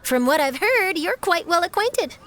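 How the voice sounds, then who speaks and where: A woman's voice: very high voice, sing-song, normal speed, fairly bright tone, exaggerated voice. A woman voicing an eager young female news reporter in a video game, perky, persuasive and theatrical. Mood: chatty. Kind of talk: animated video game voice acting.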